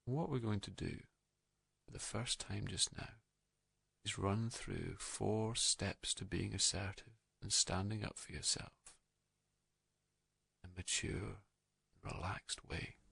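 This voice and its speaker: A man narrating a guided meditation in a calm, gentle, soothing manner, leaving unhurried pauses between soft phrases. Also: fairly low pitch, creaky, slightly rough, dry recording.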